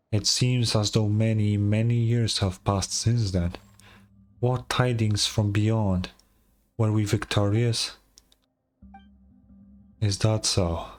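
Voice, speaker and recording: Guy doing old stone golem soldier for a game, low n slow, solemn, tired, talks all archaic. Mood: weary. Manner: formal, slow archaic speech.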